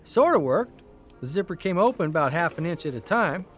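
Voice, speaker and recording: A tired wry newspaper man spinning folksy stories, deadpan, radio drama, bit of music behind. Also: a little high, fairly quick. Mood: exasperated, wry. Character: Ernie Pyle, wry, weary newspaper columnist and storyteller. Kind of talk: audio drama narration.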